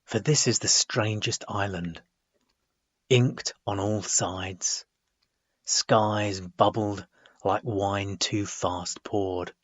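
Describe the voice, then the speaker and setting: A man reading his own poetry dramatically, in measured, deliberate phrases broken by frequent pauses. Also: fairly low pitch, steady tone, dry recording, highs cut off. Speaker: poet reading own work.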